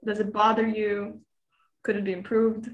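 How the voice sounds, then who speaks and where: A woman's voice: medium pitch, flat delivery, normal speed, muffled recording. Young woman hosting a video call chat. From Lithuania. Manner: friendly, hesitant with many ums. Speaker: podcast host. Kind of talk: casual online group chat.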